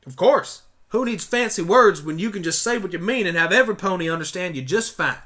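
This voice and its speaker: Man putting on a folksy, lively country mare. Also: high voice, a little fast, voice pushed up. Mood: matter-of-fact. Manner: folksy, blunt, exclamatory. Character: plain-spoken, stubborn young country mare pony turned human. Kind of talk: fan audio drama acting.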